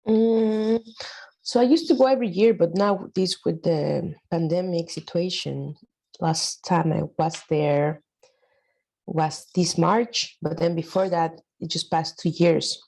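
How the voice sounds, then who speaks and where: A woman's voice: fairly low pitch, fairly even intonation, slow pace, a little mellow, poor audio. Chatty woman from Mexico City. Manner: chatty, many fillers and laughs. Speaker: workshop participant. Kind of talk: casual chat.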